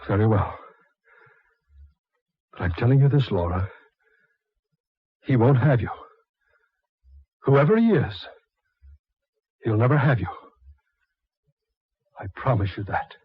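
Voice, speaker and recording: An American actor playing an obsessive, pleading suitor in a 1940s radio melodrama, insistent and overwrought. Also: male voice, medium pitch, sing-song, slow pace, pauses often, slightly rough, no echo, heavily acted. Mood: jealous fury. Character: obsessive, possessive spurned suitor pressing a married woman. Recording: muffled old 1940s radio recording.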